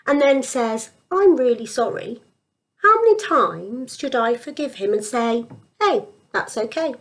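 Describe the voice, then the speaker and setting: An eager, earnest disciple voiced by a woman storyteller talks in a questioning way. The intonation is very lively, suited to a children's Bible tale. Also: a little high, unhurried.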